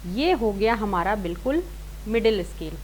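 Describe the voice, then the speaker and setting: A woman's voice: mid-range voice, lively intonation, normal speed, a little mellow, a little hiss or hum. A woman teaching a singing lesson step by step, informally rephrasing and repeating her points and mixing in English words. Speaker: singing teacher, series host.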